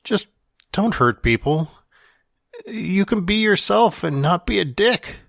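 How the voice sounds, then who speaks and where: A man acts out a dying man delivering a farewell monologue for a fiction podcast. His voice sits a little high and he takes his time, wry and confessional. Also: expressive, slow, vocal fry, fairly bright tone, dry recording, studio quality, exaggerated voice. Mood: earnest, reflective.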